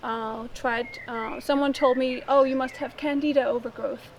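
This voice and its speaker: A woman from Denmark telling her personal story in an interview, in a halting, thinking-aloud way. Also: high-pitched, fairly even intonation, a little hiss or hum, poor audio.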